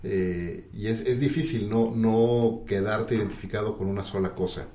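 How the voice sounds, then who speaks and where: Low, mellow-voiced male podcast host. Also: normal speed. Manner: fluent, with filler "eh" and repeated words when starting. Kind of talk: interview host questions. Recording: roomy echo.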